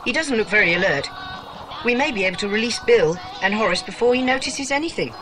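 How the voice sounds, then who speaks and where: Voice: medium pitch, expressive, fast, natural voice. A performer playing a sensible, keen, well-spoken boy in a children's audio adventure drama. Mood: reassuring. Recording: very noisy older dramatised recording.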